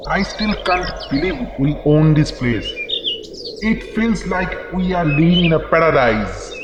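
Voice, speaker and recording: A man's voice: a little high, expressive, measured pace, background noise. A man playing an earnest, polite and curious young husband in an audio drama. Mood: happy wonder. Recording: dull, echoey sound with music. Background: music and birdsong.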